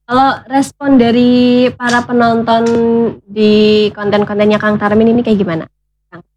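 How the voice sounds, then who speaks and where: A woman hosting a talk show, polite and steady, ending her phrases with tag questions. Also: on the high side, normal speed, slight background noise. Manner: polite, steady prompting, frequent "ya" tags. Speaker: host.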